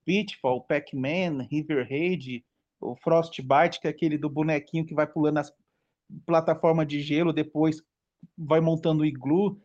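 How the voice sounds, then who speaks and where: A man's voice: a little high, fairly even intonation, moderate pace, a little mellow, dull audio. A man in his late thirties from Brazil, chatting casually on a podcast and rambling through a nostalgic list of old games. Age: 30s. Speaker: podcast participant. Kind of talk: casual chat.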